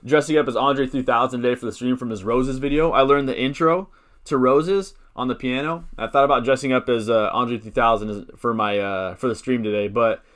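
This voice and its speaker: A man in his late twenties hosting a casual live stream from his computer. He rambles, restarts his sentences and thinks out loud, at an ordinary pitch for a man. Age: 20s. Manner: rambling, thinks aloud with many restarts and fillers; chats with viewers, gives shout-outs. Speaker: fantasy football streamer.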